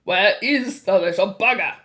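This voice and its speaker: A woman's voice: medium pitch, a little slow, a bit bright, natural voice. A woman voicing an angry, pompous middle-aged father in a storybook, blustering with indignation. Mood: gruff, scornful.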